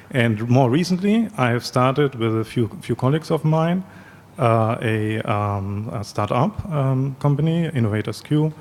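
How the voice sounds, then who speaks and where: A man's voice: medium pitch, gravelly, a little mellow, slight background noise. A man introducing his work on a panel, hesitant and full of fillers. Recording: reverberant hall with faint ambience.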